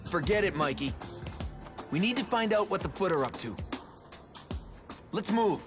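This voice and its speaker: Lively, serious young leader. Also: male voice, high voice, normal speed, music in the background. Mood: commanding. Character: serious, responsible teenage turtle team leader. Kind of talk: video game cutscene acting.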